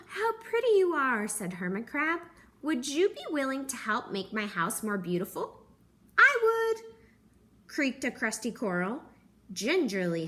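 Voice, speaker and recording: Lively young woman reading a picture book. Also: fairly high pitch. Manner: gentle, animated storytelling with gasps and character voices. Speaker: teacher. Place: living room at home.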